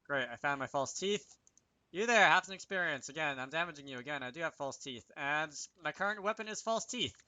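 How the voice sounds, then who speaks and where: A man walks through code in a casual tutorial, muttering his reasoning aloud and tossing in wry asides. His voice is forward and bright, slightly higher than average. The recording is dry and close, as from a desk microphone. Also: animated, fairly quick, no echo. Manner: self-talking, wry asides. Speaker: video creator demonstrating game programming.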